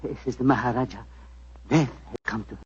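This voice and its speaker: An actor playing a solemn, proud Indian palace physician with formal, stately phrasing, in 1940s radio drama style. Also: male voice, medium pitch, fast talker, a little mellow, slight background noise, close to an everyday voice. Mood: grave, urgent. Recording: dull lo-fi 1940s radio recording.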